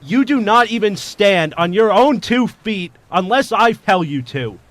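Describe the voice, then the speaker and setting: A man's voice: high voice, animated, unhurried, a bit bright, no room sound. A man playing a ruthless armed bank robber in an audio drama, speaking in a domineering, commanding, menacing way. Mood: cold menace.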